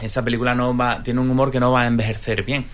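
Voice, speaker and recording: A man's voice: mid-range voice, level tone, fast. A man from in a casual film discussion, opinionated and fond of rhetorical questions. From Spain. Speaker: podcast panelist.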